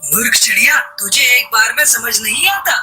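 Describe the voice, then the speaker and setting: A woman voicing a gruff, bossy male cartoon crow with a husky voice and a brusque, commanding manner. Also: a little high, lively intonation, normal speed, noisy background, close to an everyday voice. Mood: angry, bossy. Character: gruff male crow, bossy house owner with a soft heart. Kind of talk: Hindi children's cartoon voice acting.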